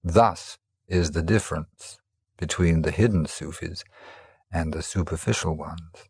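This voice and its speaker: A man narrating traditional teaching stories as a storyteller, in a measured, formal manner. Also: low voice, creaky, darkish tone, slightly rough, dry recording, studio quality. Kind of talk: scripted narration of teaching tales.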